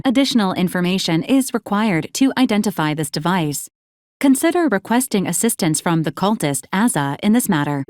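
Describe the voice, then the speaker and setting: A woman playing a dutiful, literal-minded robot ship's assistant in a video game, speaking in a formal, clipped, deadpan way. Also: mid-range voice, no echo, clean studio sound, lightly acted. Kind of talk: scripted video game voice acting.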